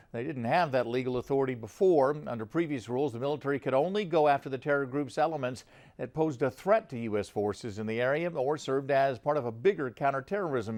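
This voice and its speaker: A man with an American accent reading scripted news as a television anchor. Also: medium pitch.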